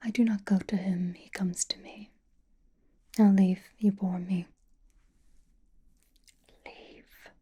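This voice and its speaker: A woman voicing a possessive, haughty, flirtatious vampire in a breathy, intimate whisper for an ASMR roleplay. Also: medium pitch, steady tone, mellow. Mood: imperious, bored. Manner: intimate, languid, disdainful, drawn-out phrasing with pauses.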